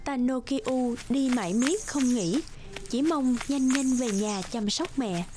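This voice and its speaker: A woman's voice: sits high, slow pace. A woman reading scripted folktale narration as a storyteller. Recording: music bed under narration.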